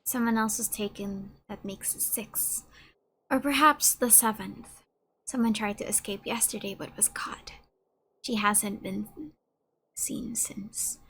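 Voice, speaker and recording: Creaky-voiced woman streamer reading aloud. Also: medium pitch, a little hiss or hum. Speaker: game streamer. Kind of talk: reading game text aloud during a playthrough.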